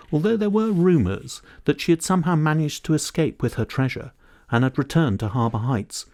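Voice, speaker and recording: A man reading a children's storybook aloud in expressive, scripted narration. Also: medium pitch, dry recording, clean studio sound. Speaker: audiobook narrator.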